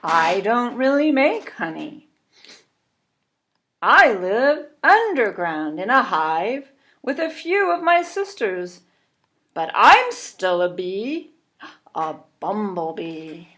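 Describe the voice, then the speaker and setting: A woman's voice: medium pitch, big pitch swings, takes it slowly, pushed and bright, full character voice. A woman voicing a big, fluffy, proud bumblebee in a children's storybook, emphatic and matter-of-fact. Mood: asserting, proud. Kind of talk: children's storybook read-aloud. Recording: clearly noisy recording.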